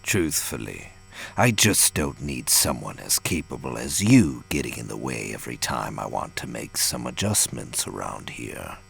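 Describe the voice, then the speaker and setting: A sinister ship AI from an audio play, performed by a man, speaks with cold affection. The voice is extremely deep, hushed and menacing. Music sits clearly underneath. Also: lively intonation, unhurried, exaggerated voice. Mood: quiet menace. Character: sinister, coldly affectionate ship AI reading its message.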